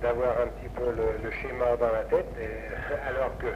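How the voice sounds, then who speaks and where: Fifties male ham operator, rambling and hesitant, on a muffled, clearly noisy vintage radio link. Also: medium pitch, fairly even intonation, normal speed. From France. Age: 50s. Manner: rambling, hesitant, chuckling asides. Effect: radio transmission. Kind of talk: casual ham radio contact. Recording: noisy, muffled 1960s amateur radio recording.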